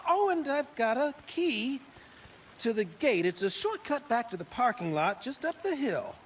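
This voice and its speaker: An actor playing an eager, chatty deputy in a game cutscene. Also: male voice, extremely high pitch, sing-song, unhurried, a little hiss or hum, exaggerated voice.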